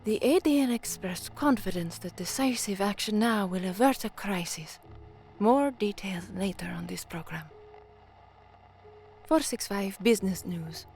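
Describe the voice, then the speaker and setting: A woman's voice: mid-range voice, lively intonation, a little slow, darkish tone, a little hiss or hum. An actress playing a hopeful, earnest young woman newsreader from the slums in a science fiction audio drama. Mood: composed, formal. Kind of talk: audio drama acting, newsreading and first-person narration.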